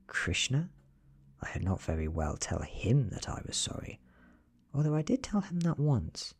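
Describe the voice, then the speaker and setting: Whispery low British man reading softly. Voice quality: breathy, whispery. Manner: hushed, measured, deliberate. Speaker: ASMR narrator reading an audiobook. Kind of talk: soft-spoken ASMR story reading.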